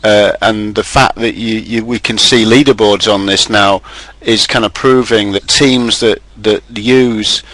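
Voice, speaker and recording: A man hosting a casual group call, talking loudly and enthusiastically. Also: on the low side, fairly even intonation, a little slow, hardly any pauses, no room sound, rough recording. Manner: loud, enthusiastic, upbeat.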